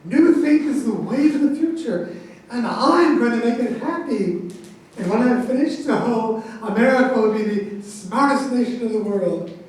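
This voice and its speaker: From far off in a very echoey, noisy space comes a man acting a blustering president. He is high-voiced and boastful, dismissing critics as he defends his absurd ideas in a stage satire. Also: lively intonation, normal speed, a little mellow. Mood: boastful, defensive. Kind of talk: staged reading of a satirical play. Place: echoey room, far from the microphone. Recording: very echoey, distant, noisy hall recording.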